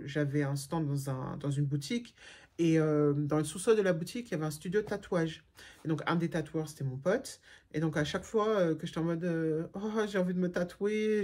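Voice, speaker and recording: A woman's voice: low-pitched, darkish tone. A middle-aged woman from Germany chatting casually and frankly as a vlogger answering viewer questions, with many fillers and restarts. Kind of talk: casual chat.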